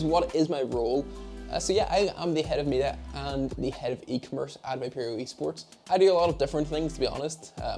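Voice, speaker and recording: A man's voice: mid-range voice, mellow. A nineteen-year-old man with a Northern Irish accent, chatting casually to camera in a Q and A about his media job, with rambling, self-mocking asides. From Derry, Northern Ireland. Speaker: esports head of media. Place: home office setup.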